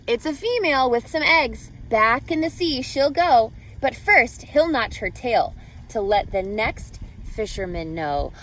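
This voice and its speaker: A woman reading a picture book aloud to children as a teacher, expressively, pausing to ask guiding questions and explain. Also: on the high side, expressive, a bit bright, a little hiss or hum, rough recording.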